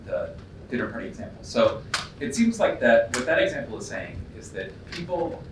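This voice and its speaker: A man's voice: medium pitch, very animated, moderate pace. Man, audience questioner, skeptical and pressing, hesitant, noisy distant recording. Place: lecture hall. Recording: distant echoey hall mic with noise.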